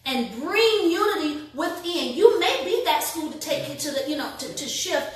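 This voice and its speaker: A woman's voice: sits high, expressive, moderate pace, heavy reverb, recorded from a distance. A woman co-hosting a faith talk show, speaking emphatically in a preacherly way and repeating key phrases for effect.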